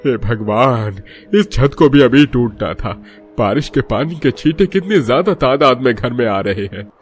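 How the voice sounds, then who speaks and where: A man's voice: mid-range voice, lively intonation, a little slow, faint music behind, close to an everyday voice. A man playing everyday working men in a Hindi cartoon story, earnest and complaining. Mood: worried. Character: poor worried father and unyielding laundry boss.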